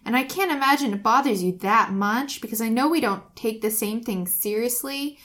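A woman voicing a stiff, earnest young man who speaks bluntly and haltingly. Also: fairly high pitch, a bit bright, voice pushed up, close to an everyday voice.

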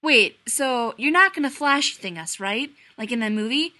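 A man's voice: very high-pitched, animated, normal speed, sharp tone, pitched above the performer's own voice, full character voice. A woman voicing a jokey, chatty male field agent. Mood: joking.

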